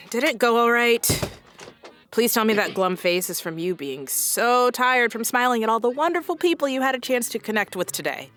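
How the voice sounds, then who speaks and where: Stressed radio station co-worker. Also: female voice, on the high side, lively intonation, moderate pace, a bit bright, faint music behind. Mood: hopeful, anxious. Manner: exasperated, wordy. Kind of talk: comedy audio drama dialogue.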